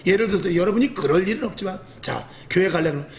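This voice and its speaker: High-pitched rough-voiced male pastor preaching. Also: very fast. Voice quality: rough, earthy voice. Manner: lively, acts out quoted speech, self-mocking humor. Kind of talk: evening church sermon.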